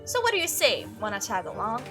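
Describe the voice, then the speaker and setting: A woman's voice: on the high side, wildly swooping pitch, fast talker, music in the background. A woman voicing an upbeat, chatty young monster-hunting video maker in a visual novel read-through. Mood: excited. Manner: bubbly, casual, enthusiastic.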